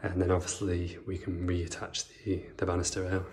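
Young man, low voice, steady flat delivery, chatty vlog, empty echoing house. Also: moderate pace, slight background noise. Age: 20s. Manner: rambling, chatty, many "like" and "um" fillers. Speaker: property renovation vlogger. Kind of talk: casual house-tour vlog. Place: empty house under renovation, indoors. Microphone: phone camera, handheld then propped up. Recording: echoey bare rooms.

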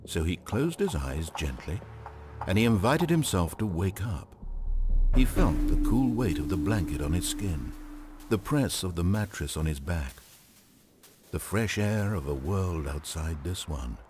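An actor playing a composed, wry, omniscient narrator in a video game, with a British accent and deliberate, articulate, theatrical delivery. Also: male voice, deep voice, very animated, noisy background. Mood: measured.

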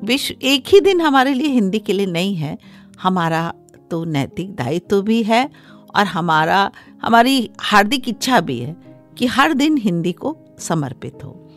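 A middle-aged woman speaks as a scholarly radio guest at a medium pitch. She explains things formally, pausing between phrases, close to a studio mic with music underneath. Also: big pitch swings. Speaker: interview guest, Hindi scholar. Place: small acoustically treated radio studio. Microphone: close studio microphone on a desk stand.

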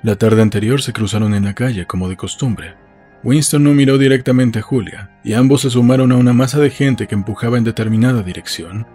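A man's voice: mid-range voice, brisk, mellow, slightly rough. A man narrating a novel as a scripted literary audiobook. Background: faint music.

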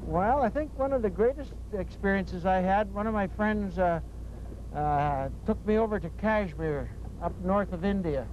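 A man's voice: high-pitched, moderate pace, darkish tone. An older man chatting casually as a guest, telling anecdotes out on a small boat. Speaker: fishing guest. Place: small boat on open water. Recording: dull tape, outdoor boat noise.

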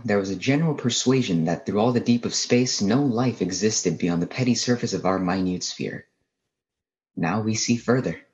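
A young man playing a reflective, weary professor who narrates a radio drama in a measured, solemn way. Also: on the low side, natural voice. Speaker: actor in a drama club radio play. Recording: muffled, band-limited radio-play sound.

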